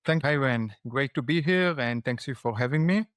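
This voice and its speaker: A man with an Israeli accent, a guest giving relaxed, reflective interview answers with frequent fillers. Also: mid-range voice, moderate pace, clear, resonant tone, dry recording, studio quality. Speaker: guest, startup founder and lecturer.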